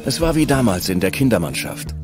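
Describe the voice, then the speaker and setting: A man's voice: mid-range voice, sing-song, brisk, lightly acted. A man doing a German documentary voice-over, playing a proud, tough American cowboy looking back. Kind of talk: German dub voice-over in a true crime documentary. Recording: music behind the voice.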